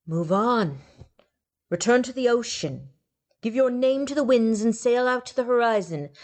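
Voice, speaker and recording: A woman's voice: fairly high pitch, lively intonation, unhurried, pitched above the performer's own voice. A woman voicing a stern, pompous male wind god who declaims in lofty, formal speech.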